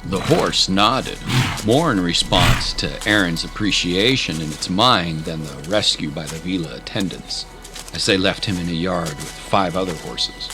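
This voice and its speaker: Deep-voiced man narrating a fantasy tale. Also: measured pace, music in the background. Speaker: storyteller, narrator. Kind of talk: scripted dramatic narration of a fantasy adventure.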